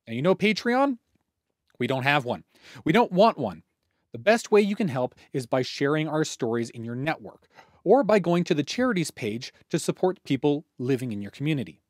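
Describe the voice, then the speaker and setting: A man's voice: fairly high pitch, sing-song, normal speed, no room sound, clean studio sound. A man hosting with a scripted announcement, speaking conversationally and directly to his listeners. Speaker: producer and host.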